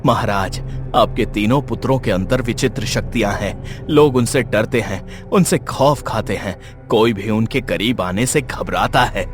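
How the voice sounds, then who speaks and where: A man voices a royal adviser in an animated storybook tale. His voice is low, his delivery measured, grave and respectful. Music plays clearly behind him. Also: natural voice. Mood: solemn. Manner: measured, deferential, solemn. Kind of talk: animated moral tale voice acting.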